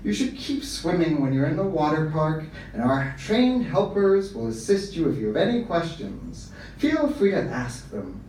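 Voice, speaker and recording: A man reading aloud voices a slick, upbeat official announcement, higher and louder than his own voice. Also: sing-song, moderate pace, few pauses, slight background noise. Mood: officious. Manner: bright promotional, salesy. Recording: echoey room from far off.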